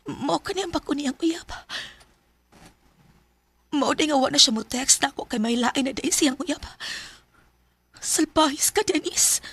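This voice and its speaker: A woman's voice: high voice, measured pace, pauses often, a bit bright. A woman playing a stubborn, lovelorn young daughter in a radio drama, with a Bisaya accent. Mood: jealous, angry. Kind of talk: Bisaya radio drama acting with confiding narration.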